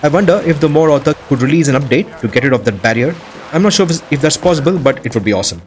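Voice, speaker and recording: A man's voice: fairly high pitch, lively intonation, very fast, a little hiss or hum. A man hosting a video, narrating gameplay in a casual, enthusiastic, lightly scripted way. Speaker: video host. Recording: music behind the voice. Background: background music.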